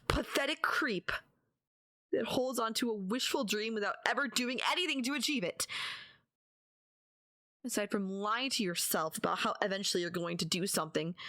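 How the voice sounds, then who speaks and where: A woman playing a despairing, lovesick yandere kidnapper in an intimate audio roleplay, halting and pleading, often slipping into half-whispers. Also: mid-range voice, fairly bright tone, no echo, clean studio sound. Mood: self-loathing, then despairing, bitter. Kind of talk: intimate ASMR-style dramatic audio roleplay.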